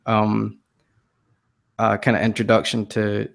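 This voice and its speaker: Gravelly Scotsman with a softened accent. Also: lowish voice, completely flat delivery, takes it slowly. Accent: Scottish, softened by years in the US. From Scotland. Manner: self-deprecating, hesitant, many ums and restarts, laughs often. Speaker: podcast guest, designer. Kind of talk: casual interview chat.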